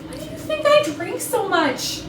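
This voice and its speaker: A woman's voice: very high voice, very animated, normal speed. A woman playing a brash, defiant, lovesick office party girl in a staged comedy reading, with dramatic, indignant delivery. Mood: lovesick, bitter. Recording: echoey room, distant mic, clear noise.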